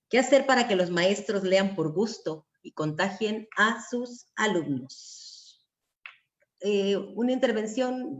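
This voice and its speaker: A woman with a Mexican accent moderating an online panel discussion. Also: mid-range voice. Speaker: secondary school teacher. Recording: dull lo-fi video call audio.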